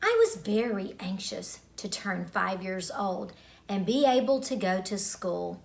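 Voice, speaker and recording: A middle-aged woman telling a childhood story to children in a deliberate, expressive manner with dramatic pauses. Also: mid-range voice, gravelly.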